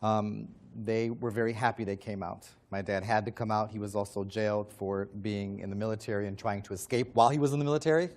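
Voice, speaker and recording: A man moderates a panel discussion in a plain, unhurried way. His voice sits a bit low, and he fills his pauses with ums. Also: fairly even intonation, a little mellow.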